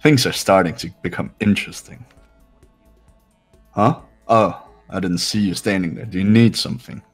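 A man voicing an arrogant, condescending young heir in a visual novel game, speaking in a pompous, measured way. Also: sits low, steady tone, faint music behind. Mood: haughty.

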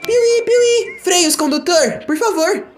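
Cheeky, easily alarmed young locomotive for a cartoon, high, whiny and exclamatory man performing. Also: animated, slow, faint music behind, full character voice. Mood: panicked. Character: grumpy, cheeky young male tank engine, easily alarmed.

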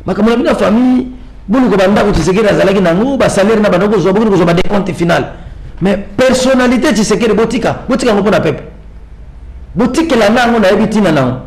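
Animated, higher-pitched Congolese man. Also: fast talker, low-quality recording. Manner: emphatic, repeats phrases for stress. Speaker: political commentator guest. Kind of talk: televised political discussion in mixed Lingala and French.

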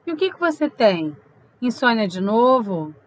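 A woman's voice: on the high side, very animated, moderate pace, poor audio. A woman playing a teasing, inquisitive, unsentimental girlfriend in an audio drama, probing and playful. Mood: concerned, probing.

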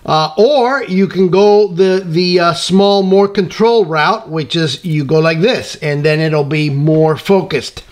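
Middle-aged man, fairly high voice, casual workshop demo, lots of ums and uhs, friendly rambling. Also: slow pace, hardly any pauses. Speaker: scale model hobby host. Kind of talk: casual workbench tutorial. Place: workbench in home workshop.

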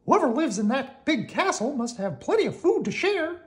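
A man voicing a cheerful, excitable young male mouse in a high, squeaky voice. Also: moderate pace. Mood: excited.

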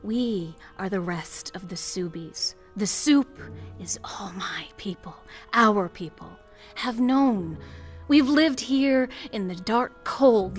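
A woman's voice: mid-range voice, close to an everyday voice. A woman acts out a proud survivor queen of the sewers, solemn and weary, issuing short commanding lines in an audio drama. Music plays beneath her voice. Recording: music under the voice.

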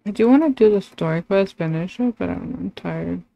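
A young woman chatting casually as she livestreams a game, in a halting, rambling way. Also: on the low side, lively intonation, vocal fry, mellow, highs cut off. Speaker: livestreaming gamer. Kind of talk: casual chat while playing a game. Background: video game music and combat sound effects.

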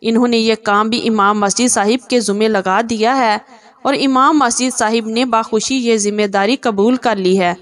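A woman with a fairly high-pitched voice works as a storyteller, reading from a script. She narrates a moral tale in the manner of a prepared story reading. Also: fairly even intonation, moderate pace.

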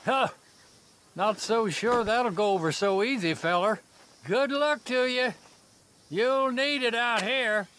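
An actor playing a folksy, morbid old frontier undertaker with an in a video game. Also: male voice, very high-pitched, slow pace, a bit bright, heavily acted. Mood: wry. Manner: drawling, folksy. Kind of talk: western video game acting.

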